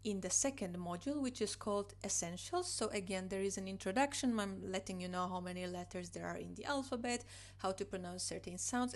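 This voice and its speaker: A young woman speaks English. She is a teacher showing her online course on screen and explains each part patiently, repeating herself and filling gaps with "you know." She is recorded indoors at a desk on a computer microphone. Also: medium pitch. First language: Polish. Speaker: Polish language teacher. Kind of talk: screen-share course walkthrough.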